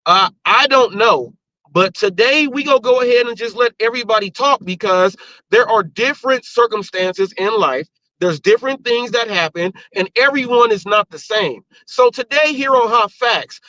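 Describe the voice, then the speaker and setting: High-pitched, bright-voiced male talk host. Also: moderate pace, no room sound. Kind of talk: casual live talk show. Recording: dull, lo-fi stream audio.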